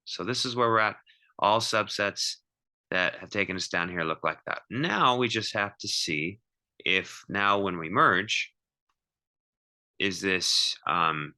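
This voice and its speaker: A male math teacher with a low-pitched voice and a very bright, forceful edge. He explains step by step in an informal lecture style, pausing, restarting and repeating phrases as he thinks aloud.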